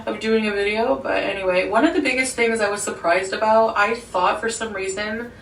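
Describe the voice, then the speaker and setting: A young woman chats to her viewers in a loose, rambling way, tossing in fillers and little laughs. Her mid-pitched voice bounces around a hard room and sits a step back from the microphone. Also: steady tone, fairly quick, reverberant, distant mic, a little hiss or hum, poor audio. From Ottawa, Canada. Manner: chatty, rambling, with frequent "like" and small laughs. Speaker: vlogger. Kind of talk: sit-down talk vlog.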